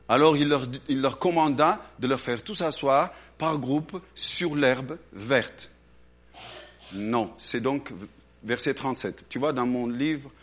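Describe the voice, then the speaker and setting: A man preaching a lively sermon to a congregation, acting out little voices and swinging between loud and hushed delivery. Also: on the high side. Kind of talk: animated sermon.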